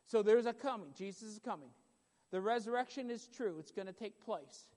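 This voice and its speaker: Loud preacher in a reverberant hall. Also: male voice, high voice, normal speed. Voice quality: loud voice at times. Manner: emphatic, repetitive preaching, builds in intensity. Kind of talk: sermon.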